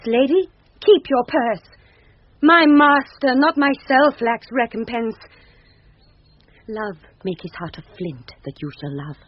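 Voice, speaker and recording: An actress playing a spirited young page, a disguised woman, ardent and declamatory, with a breathy voice. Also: fairly high pitch. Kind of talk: classic Shakespearean radio acting. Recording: muffled 1970s radio recording.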